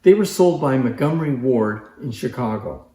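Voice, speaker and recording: A man's voice: mid-range voice, animated, moderate pace, warm tone. A man giving a casual, explanatory demonstration as a presenter showing off antique machines. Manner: informal, explanatory. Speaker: presenter showcasing antique phonographs. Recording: echoey room.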